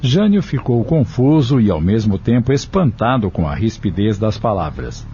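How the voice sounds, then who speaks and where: A man's voice: fairly low pitch, very animated, normal speed, warm tone, highs cut off, faint music behind. A Brazilian man reading scripted narration for an audio novel.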